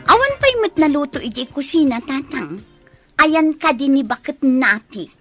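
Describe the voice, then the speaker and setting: Woman playing a high-voiced, nosy Ilocano kid. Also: sing-song, moderate pace, fairly bright tone, soft background music. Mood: hungry complaint. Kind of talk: Ilocano comedy radio drama acting.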